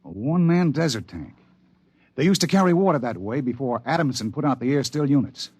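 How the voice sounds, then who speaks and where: A gruff, wary prospector. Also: male voice, mid-range voice, expressive, fairly quick, darkish tone. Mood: tense. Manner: gruff, suspicious, folksy. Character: gruff middle-aged prospector father, wary. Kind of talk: 1950s radio drama acting.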